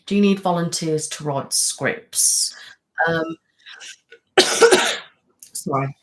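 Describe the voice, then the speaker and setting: A woman's voice: sits low, slow, fairly bright tone, low-quality recording. A middle-aged British woman, an ASMR channel host, chatting casually on a live stream and answering viewers, rambling with many fillers. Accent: British English.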